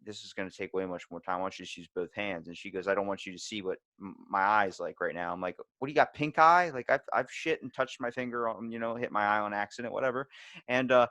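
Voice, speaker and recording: A man's voice: on the low side, fast, no echo. A man hosting a podcast in casual chat, telling rambling stories and acting out the dialogue with some swearing. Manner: rambling, animated retelling with acted-out dialogue and profanity. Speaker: podcast host.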